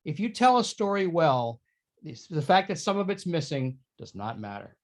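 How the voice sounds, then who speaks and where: A man with a somewhat high-pitched voice joins a casual theatre discussion. He speaks conversationally and readily gives his opinions. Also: very animated, normal speed.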